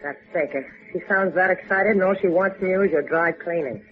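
A woman's voice: lowish voice, a little fast, natural voice. A muffled, narrow vintage radio recording carries a wisecracking woman, played by an actress. Her delivery is cool, wry and teasing, the sardonic sidekick of a 1950s adventure serial. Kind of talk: 1950s radio drama acting.

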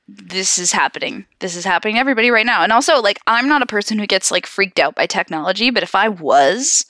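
A woman with a Canadian accent chatting casually as a podcast co-host, in an animated, jokey, giggly way with casual swearing. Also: medium pitch, fast talker, no echo, studio quality. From Ontario, Canada. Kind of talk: casual chat.

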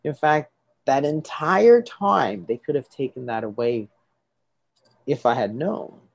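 A man's voice: medium pitch, animated, slow pace, gravelly, dry recording. A middle-aged man, a doctor, explaining things to an interviewer, often restarting and checking with "right?". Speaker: doctor treating patients.

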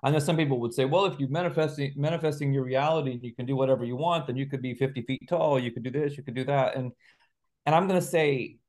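A man's voice: medium pitch, fast, darkish tone. A man speaking as an interview guest, explaining ideas conversationally in a reflective way, like an author discussing his work. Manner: reflective, explains through analogies and examples.

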